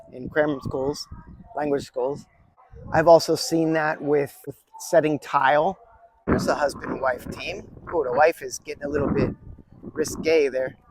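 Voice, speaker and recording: A man's voice: a little high, background noise. A man, middle-aged, hosting a casual, chatty vlog that teaches language with rambling asides. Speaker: video host teaching Chinese characters.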